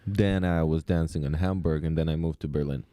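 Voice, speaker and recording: A young man from Moldova talks as a podcast guest, relaxed and chatty, stringing his stories together loosely. His voice sits low and comes across smooth and resonant. The sound is dry and close, with a mellow tone. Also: fairly quick, dry recording. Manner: laid-back, chatty, peppered with "like" and "you know". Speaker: podcast guest, ballroom dancer. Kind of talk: casual conversational storytelling.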